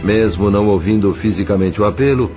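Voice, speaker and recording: A man with a Brazilian accent narrating an audio novel in a solemn, measured, literary style. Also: fairly low pitch, lo-fi sound, over music.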